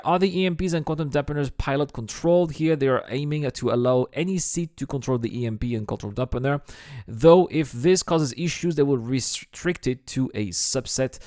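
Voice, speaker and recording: A man's voice: on the high side, darkish tone, no room sound. Man, dry close gaming commentary. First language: French. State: sick, voice strained from illness. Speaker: gaming video host. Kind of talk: scripted Q&A commentary over game footage.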